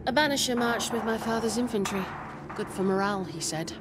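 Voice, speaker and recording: A woman's voice: a little high, a little fast, over loud music, lightly acted. An actress playing a weary, defiant woman soldier with a terse, commanding manner in a video game. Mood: wry. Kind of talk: dramatic video game acting.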